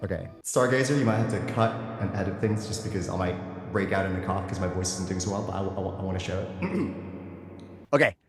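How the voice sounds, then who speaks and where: A man who works as a voice actor chatting casually as an interview guest, playful and often pausing to think aloud. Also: low-pitched, slightly rough, faint music behind.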